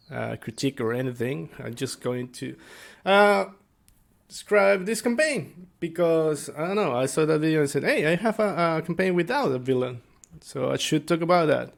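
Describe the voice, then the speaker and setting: A man giving an informal explainer as a game master, speaking haltingly and searching for his words. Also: on the high side, animated, vocal fry. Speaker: tabletop game master and video creator. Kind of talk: informal explainer talk to viewers.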